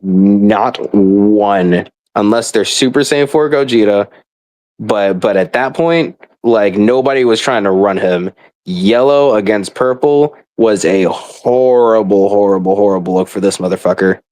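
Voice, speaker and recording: A man's voice: fairly low pitch, measured pace, a little mellow. A man rambling through a casual, profane rant as a frustrated gamer, speaking in an exasperated and emphatic way. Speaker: gamer ranting about a mobile game.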